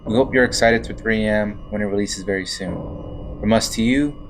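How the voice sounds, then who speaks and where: A man's voice: fairly low pitch, fairly quick, music in the background, low-quality recording. A man presenting a scripted announcement as a voice-over narrator.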